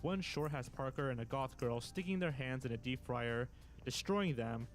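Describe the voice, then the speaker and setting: A man delivers scripted voice-over narration for a countdown. His voice is of average pitch, with faint music playing underneath. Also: expressive, moderate pace.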